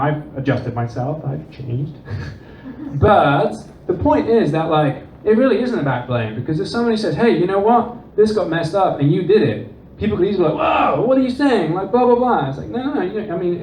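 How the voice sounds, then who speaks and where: A man with a Dutch accent, giving an animated conference talk and acting out quoted dialogue. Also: on the high side. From Netherlands and England. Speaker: conference presenter. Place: conference room. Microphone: distant room recording. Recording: distant, roomy, dull with faint noise.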